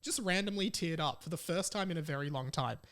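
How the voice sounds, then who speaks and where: A man's voice: on the high side, quick pace, fairly bright tone. A young adult man with an Australian accent, hosting a casual podcast in a rambling, jokey way. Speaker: podcast host. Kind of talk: casual solo podcast chat.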